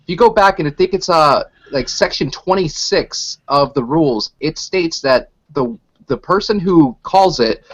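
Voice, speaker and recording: A man's voice: fairly high pitch, lively intonation, a little slow, hardly any pauses. A man co-hosting a radio show in casual, joking chat over an online call. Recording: dull lo-fi online call audio.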